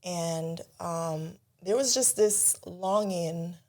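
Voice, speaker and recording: Guest: woman, slightly low voice, earnest storyteller in casual talk. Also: drawn-out words. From Jamaica. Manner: earnest, storytelling, animated when recalling childhood. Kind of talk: casual podcast conversation.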